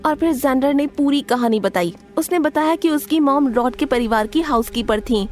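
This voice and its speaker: An earnest high school girl, voiced by a woman, tells her own animated story. Her voice is high and her delivery dramatic. Music plays behind her. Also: normal speed. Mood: tense, earnest. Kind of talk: scripted first-person narration of animated story. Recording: soft music bed behind the voice.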